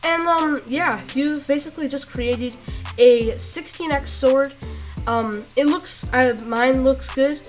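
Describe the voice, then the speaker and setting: A woman with a rough voice giving a casual step-by-step tutorial, halting with fillers and restarts. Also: high-pitched, faint music behind. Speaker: tutorial creator. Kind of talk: casual screen-recorded tutorial.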